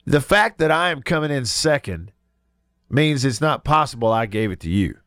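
A man's voice: medium pitch, very animated, moderate pace, fairly bright tone, no room sound. A man hosting a radio sports talk show in a studio, congested from a cold, easygoing and chuckling, with frequent restarts. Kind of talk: casual radio chat.

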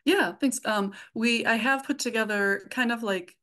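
A woman's voice: fairly high pitch, unhurried, sharp tone. A woman chatting informally and casually as a guest being interviewed. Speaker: podcast creator, interview guest.

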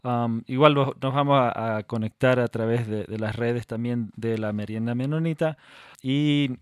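A man's voice: medium pitch, moderate pace, smooth, no echo. Hesitant man co-host, dry sound. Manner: hesitant, many fillers. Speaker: co-host interviewer. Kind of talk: casual interview chat.

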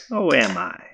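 An actor playing an eager-to-please, easily flustered young boyfriend in an audio drama, talking casually and stumbling over repeats. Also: male voice, mid-range voice, creaky, sharp tone, slight background noise, full character voice. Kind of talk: naturalistic found-footage audio drama acting.

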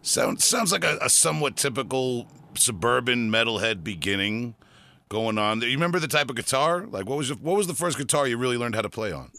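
Chatty male podcast host, bright voice, dry recording. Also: mid-range voice, expressive, a little fast, creaky, dry recording. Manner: friendly, chatty, laughs easily. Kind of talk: casual interview.

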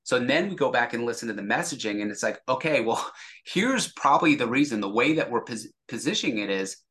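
A man's voice: medium pitch, animated, brisk, fairly bright tone. A man speaking as an interview guest, a sales executive explaining things casually and acting out example dialogue.

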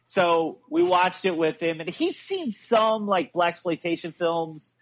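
A man with a high voice, a guest on a podcast, chatting casually and swearing with enthusiasm. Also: lively intonation, slow pace, muffled recording.

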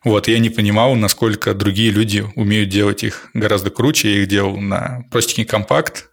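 Guest, male, lowish register, reflective and talkative podcast delivery. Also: steady tone, a little fast, vocal fry. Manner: thoughtful, detailed, with frequent fillers. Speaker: podcast guest, landscape photographer. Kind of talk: casual podcast conversation.

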